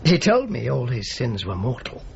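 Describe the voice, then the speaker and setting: A man's voice: medium pitch, huge pitch swings, moderate pace, vocal fry, dull audio, a little hiss or hum. An actor playing an earnest, discreet churchman in a radio drama, speaking hesitantly and thoughtfully. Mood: solemn.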